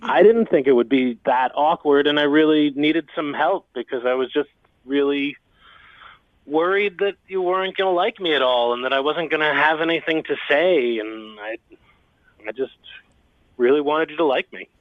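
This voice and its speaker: A man calling in to a radio show, speaking in an awkward, halting, earnest way over a dull phone line. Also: on the high side, dry recording. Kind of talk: awkward casual chat. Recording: dull band-limited radio phone call.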